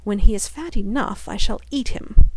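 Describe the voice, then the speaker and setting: A woman voicing a wicked, greedy old witch woman in a storybook reading, coaxing and barking sharp commands. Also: medium pitch, normal speed, breathy edge, dry recording, natural voice, low-quality recording. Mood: harsh scolding.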